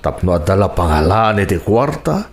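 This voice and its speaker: A Filipino man playing a gentle, forgiving middle-aged landowner and father in a radio drama, with slow, emotive delivery. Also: low-pitched, sing-song. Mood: grateful, reflective.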